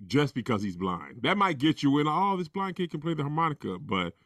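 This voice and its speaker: Animated joking man, lively pitch. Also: fairly quick, no room sound, studio quality. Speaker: podcast host. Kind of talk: casual group chat.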